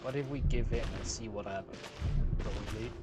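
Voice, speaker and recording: A man's voice: on the low side, moderate pace. A man giving casual game reaction commentary as a streamer, musing aloud informally. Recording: game music loud behind.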